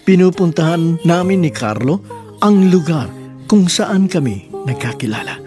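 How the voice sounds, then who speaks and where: Guy radio host reading love letters n giving advice, solemn and formal, slightly high voice, music underneath. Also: mellow. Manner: formal, measured, sentimental, solemn. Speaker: radio drama host and narrator.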